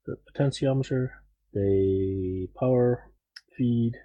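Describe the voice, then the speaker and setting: Husky-voiced man, dry matter-of-fact humour. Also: medium pitch, slow, no echo, highs cut off. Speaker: podcast chat participant. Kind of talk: casual chat.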